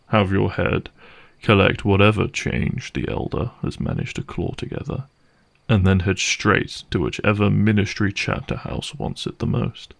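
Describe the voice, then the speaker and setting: A man playing a gruff, cynical vampire hunter for hire in a dramatic audio roleplay, speaking in a wry, sardonic and menacing way. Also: lowish voice. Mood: cold, menacing. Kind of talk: dramatic audio roleplay monologue addressed to listener.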